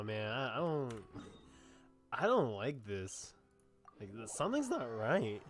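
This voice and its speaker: A young man giving casual let's play commentary in a halting, repetitive, slangy way. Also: mid-range voice. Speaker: gamer reacting to a story quest.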